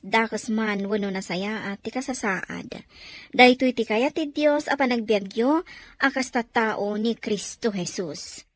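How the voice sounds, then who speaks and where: A woman's voice: on the high side, fairly bright tone, no echo. A woman narrating and announcing a radio drama in a scripted style.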